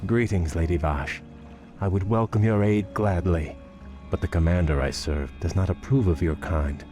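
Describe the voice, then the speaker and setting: An actor playing a proud, resentful elven prince in a video game cutscene, with a refined, dry, sardonic delivery. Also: male voice, sits low, normal speed, warm tone, faint music behind, close to an everyday voice. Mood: bitter. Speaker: prince and field commander.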